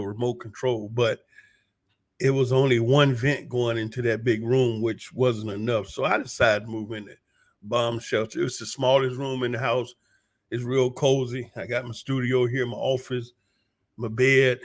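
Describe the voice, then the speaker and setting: An American man gives a casual personal update in a hoarse voice. He speaks slowly, at a middling pitch, pausing and circling back as he goes. His delivery is folksy and unhurried, like someone explaining things to followers from home. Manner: halting, unhurried, folksy storytelling. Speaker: vlogger giving a personal update. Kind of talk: casual monologue to viewers. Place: small room at home, a bomb shelter used as studio.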